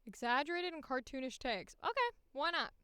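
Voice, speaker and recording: A woman's voice: high-pitched, sing-song, fairly quick, bright, forceful tone, no echo, clean studio sound. A woman chatting casually to herself and her livestream as she works, in a playful, offhand manner. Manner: playful self-talk with sing-song filler noises. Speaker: voice actor and streamer recording auditions. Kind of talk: casual livestream chat while working.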